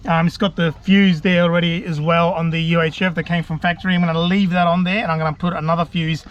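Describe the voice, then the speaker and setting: A man's voice: on the high side, level tone, fast talker, dull audio. A man giving a laid-back, self-deprecating hands-on DIY tutorial, chatting colloquially to his viewers. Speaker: vlogger presenting a DIY car install.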